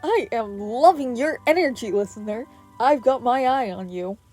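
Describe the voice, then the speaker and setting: A showy, grinning man hosting from a stage, acted by a woman reading aloud. He is loud and enthusiastic, an audio-story hero announcer with faint music underneath. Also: fairly high pitch, lively intonation, normal speed. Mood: excited, approving.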